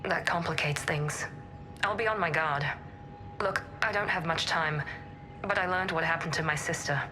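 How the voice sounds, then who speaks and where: A woman's voice: sits low, moderate pace, background noise, natural voice. A woman playing a guarded, determined operative on the run in a video game, controlled and intense.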